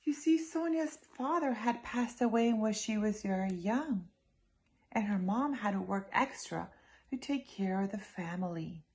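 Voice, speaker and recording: A woman reading a children's story aloud in an expressive, emphatic way, speaking directly to her young listeners. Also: medium pitch, measured pace, a little hiss or hum.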